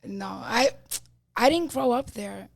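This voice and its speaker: A woman's voice: on the high side, animated, slow pace, vocal fry, dry recording. A woman in her thirties with a New York accent, chatting as a podcast guest and telling stories full of fillers. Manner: chatty storytelling, lots of fillers. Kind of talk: casual podcast chat.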